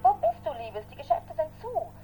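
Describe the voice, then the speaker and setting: A woman's voice: sits high, sing-song, normal speed, dark tone, soft background music. An actress playing a chatty, effusive woman leaving a phone message in a radio drama. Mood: bubbly, pleading.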